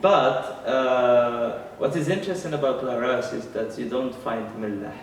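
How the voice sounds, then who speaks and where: A man's voice: mid-range voice, measured pace, gravelly, slight background noise. Echoey Moroccan man, hesitant speech. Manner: hesitant, many fillers, searches for words. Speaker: interviewee. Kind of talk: oral history interview. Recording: very echoey room, distant mic, faint music.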